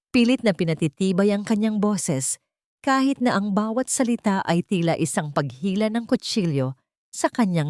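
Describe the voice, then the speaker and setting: A woman's voice: on the low side, darkish tone, no room sound, studio quality. A woman with a Filipino accent reading a scripted dramatic story as its narrator.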